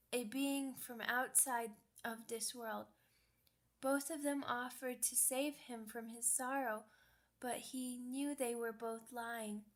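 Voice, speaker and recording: A woman plays a young werewolf pup in an ASMR roleplay. The voice is high and childlike, and the speech comes very slowly, halting and hesitant. The pup sounds sweet, timid and earnest.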